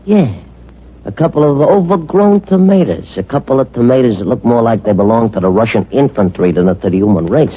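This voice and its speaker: A man's voice: mid-range voice, animated, a little fast, husky. An actor playing an ugly, cocky hoodlum in an old-time radio crime drama, talking in slangy, threatening tough-guy style. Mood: menacing, mocking. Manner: slangy tough-guy talk with double negatives. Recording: muffled, noisy old radio recording.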